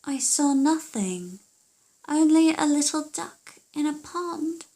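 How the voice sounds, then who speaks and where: A woman voicing a witch's dim, dutiful daughter in a storybook, with a drawn-out, plaintive manner. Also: high voice, expressive, mellow, pitched above the performer's own voice, heavily acted, poor audio. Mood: sheepish.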